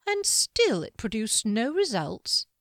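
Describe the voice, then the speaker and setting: A woman's voice: on the high side, huge pitch swings, dry recording, studio quality, voice pushed up, heavily acted. A woman voicing a smug, menacing wizard. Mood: cold. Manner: slow, smug, quietly menacing. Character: sly, mocking male wizard, calm and menacing.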